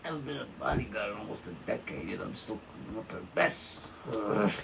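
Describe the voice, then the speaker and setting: A man playing a husky, hostile ex-bodyguard who is stubborn and foul-mouthed, in a comedic script table read. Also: fairly low pitch, lively intonation, normal speed, a bit bright, a little hiss or hum. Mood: weary, bitter. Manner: belligerent, slangy, swearing.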